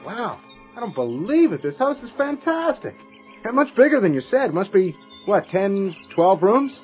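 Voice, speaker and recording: A man's voice: sits high, very animated, measured pace, faint music behind. A man playing an eager, coaxing husband in a radio drama. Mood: excited. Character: eager, coaxing husband keen on a windfall house. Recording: dull archival radio recording.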